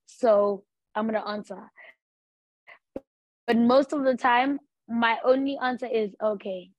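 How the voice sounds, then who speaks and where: Slow-talking African woman, dry lo-fi. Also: on the high side, dry recording. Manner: slow, thoughtful, laid-back. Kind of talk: casual chat. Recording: dull dry lo-fi sound.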